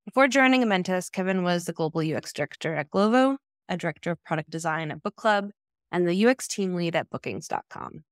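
Female event host, thirties, lower register, semi-scripted introduction, very dry recording. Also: no room sound. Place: indoors, video call.

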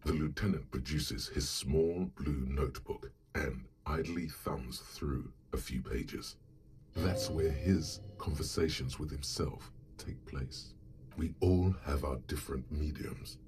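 An actor voicing a grave inner voice that narrates a detective's thoughts in a role-playing game, measured and literary. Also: male voice, extremely low pitch, music in the background. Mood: dry, brooding. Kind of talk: scripted second-person narration.

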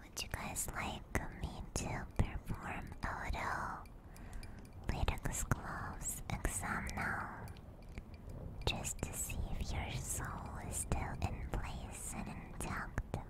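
A woman hosting an ASMR livestream, speaking softly and soothingly in a gentle, deliberate manner close to a binaural microphone. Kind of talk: ASMR, soft whispered personal attention. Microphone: binaural ASMR microphone at close range.

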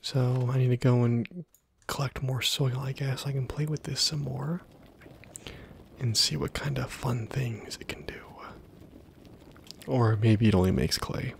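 A man softly murmuring and whispering ASMR gameplay commentary, musing to himself in a hushed, breathy way close to the microphone. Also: mid-range voice, unhurried, a little hiss or hum. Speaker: gaming video host.

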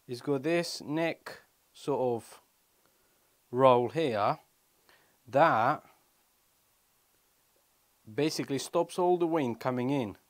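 A man in his thirties giving a casual hands-on product walkthrough, explaining step by step in short phrases as a vlogger. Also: mid-range voice. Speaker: motorcycle vlogger. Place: small wooden shed or garage room.

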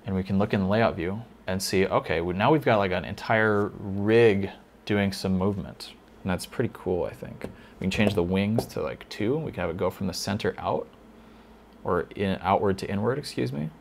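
A man teaching a lighting console in a casual tutorial, thinking aloud with fillers, a little hoarse. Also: low voice, normal speed, a little hiss or hum. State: slightly hoarse, losing his voice. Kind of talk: casual screen-recorded tutorial.

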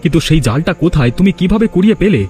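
A man's voice: high voice, animated, rapid-fire, mellow, breathy edge. A man voicing a devoted, protective young son in a fairy-tale cartoon, questioning eagerly and offering reassurance. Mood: surprised. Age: young adult. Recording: music behind the voice.

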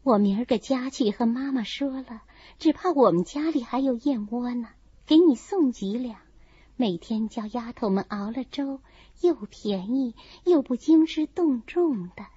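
A woman puts on a composed young lady from a classical novel, kindly and sensible. She speaks slowly, soothing like an older cousin in an audiobook drama. The recording is slightly dull and close. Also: on the high side, animated, warm tone, breathy edge, dry recording, pitched below the performer's own voice, full character voice. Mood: reassuring. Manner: soothing, measured.

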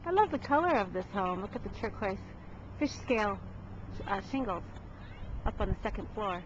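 A woman's voice: mid-range voice, big pitch swings, moderate pace. Chatty woman admiring homes, lo-fi. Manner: admiring, chatty, with frequent ums and uhs. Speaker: real estate agent giving a home tour. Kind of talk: casual voice-over narration of a walking tour. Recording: dull lo-fi audio with clear background noise.

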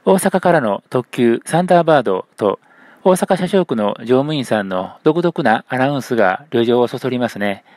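A man's voice: mid-range voice, lively intonation, normal speed, a little mellow, no room sound. A man giving a scripted narration for a video. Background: music.

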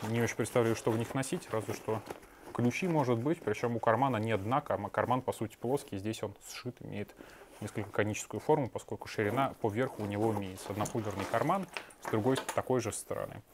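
A man's voice: fairly low pitch, quick pace, slight background noise. A man in his thirties reviewing a backpack in a small shop room on a lapel mic, blunt and sarcastic with crude asides. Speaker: shop owner reviewing gear. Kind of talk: product review.

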